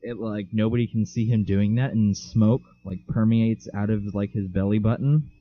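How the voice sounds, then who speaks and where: Teen guy goofing around on a podcast, kinda low voice, dull lo-fi mic. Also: steady tone, normal speed, no room sound. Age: teens. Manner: joking, teasing, tells stories with big laughs. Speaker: podcast co-host. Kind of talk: casual chat.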